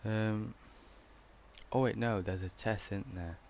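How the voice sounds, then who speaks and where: A man's voice: on the low side, fairly even intonation, takes it slowly, gravelly, darkish tone. A man chatting casually as he streams a video game, in a rambling, laid-back way. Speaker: gaming streamer. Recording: faint background noise.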